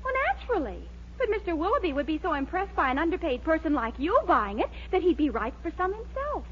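Bossy cheery young schemer, high bouncy voice, old radio comedy, muffled. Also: female voice, sing-song, quick pace. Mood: coaxing, confident. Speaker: comic actress. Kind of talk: 1940s radio sitcom acting. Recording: muffled 1940s radio recording, faint noise.

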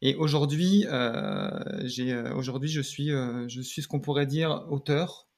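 A man in his thirties with a Parisian French accent, giving halting, often rephrased answers as an interview guest. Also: mid-range voice, a little mellow. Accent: French, Parisian region. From Paris region, France. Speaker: podcast guest, designer and video creator. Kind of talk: casual interview chat.

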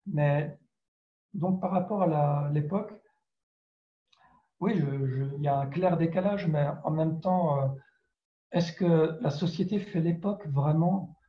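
Male writer, introspective interview delivery with searching pauses; slightly high voice, roomy muffled recording. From France. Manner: hesitant, searching for words, introspective. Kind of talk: reflective spoken interview answer. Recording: dull, roomy, echoing sound.

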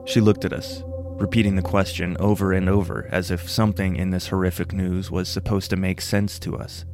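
Male narrator, low voice, measured solemn storytelling, first-person script, music behind. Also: little pitch movement.

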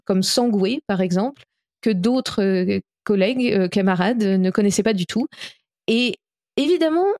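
A French-born woman speaks as a guest on an interview, her voice warm and mellow. She talks earnestly, often pausing on fillers and restarting her sentences. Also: on the high side. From France. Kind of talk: podcast interview answers.

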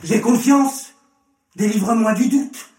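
A man's voice: high-pitched, slow, darkish tone, pitched above the performer's own voice. A man voicing an anguished father who pleads urgently for his afflicted son in a scripture story. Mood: anguished cry. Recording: echoey room, distant from mic.

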